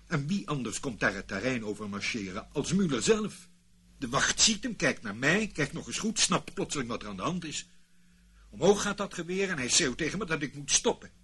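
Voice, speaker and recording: An animated, self-justifying ex-army officer. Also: male voice, mid-range voice, normal speed, slightly rough, full character voice. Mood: defensive, uneasy. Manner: boastful storytelling, hesitant and defensive when challenged. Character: evasive, self-justifying British male ex-army officer, disgraced swindler. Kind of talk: Dutch radio play acting. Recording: noisy old radio play recording.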